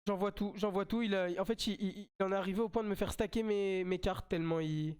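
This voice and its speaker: A man's voice: high voice, fairly even intonation, fast talker, a little mellow, clear, resonant tone. A man with a French accent and a slight cold, commentating his own mobile game live as a streamer, chatty and repeating himself as he plays.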